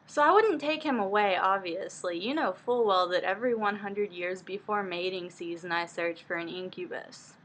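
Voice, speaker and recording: A woman's voice: medium pitch, normal speed, hardly any pauses, fairly bright tone, natural voice, low-quality recording. A woman voicing a demanding, amorous and suspicious young nobleman in a dramatic book reading. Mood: imperious. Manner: bold, demanding.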